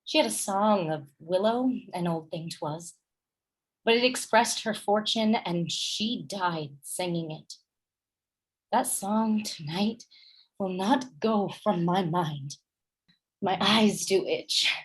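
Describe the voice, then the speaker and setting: A woman playing a gentle, melancholy noblewoman and obedient wife in a Shakespearean radio play, with a wistful manner. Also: medium pitch, slow, husky, rough recording.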